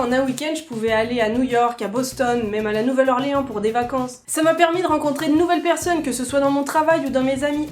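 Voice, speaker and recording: Young woman, high voice, chatty vlog, self-mocking asides, faint music behind. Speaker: vlogger. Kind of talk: casual vlog to camera.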